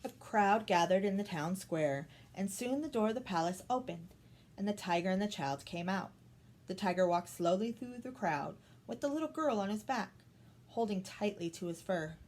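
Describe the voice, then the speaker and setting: A woman's voice: mid-range voice, animated, moderate pace, a little mellow. A woman storyteller reading a children's story expressively.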